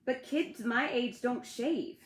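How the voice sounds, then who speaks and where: An earnest little storybook boy with a big imagination, acted by a woman. He sounds wide-eyed and questioning, his pitch lifted high. The sound is roomy. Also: slow pace.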